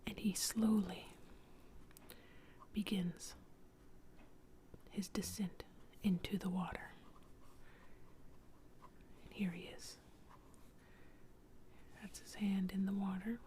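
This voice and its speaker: A woman telling a calm story entirely in a soft, unvoiced ASMR whisper. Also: medium pitch, takes it slowly, long pauses, a little hiss or hum.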